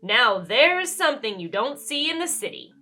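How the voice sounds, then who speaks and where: An eager, excitable storybook boy, acted out by a woman reader, keen on adventure. The voice is high and bright, with very lively swings in pitch. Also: moderate pace, soft background music, heavily acted. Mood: curious. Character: eager city boy keen on wildlife and photos. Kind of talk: children's storybook character voice.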